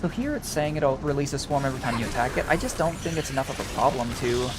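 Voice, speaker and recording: A man's voice: mid-range voice, animated, fast. A young man giving casual, rambling, conversational gameplay commentary as a streamer. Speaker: game streamer. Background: game music.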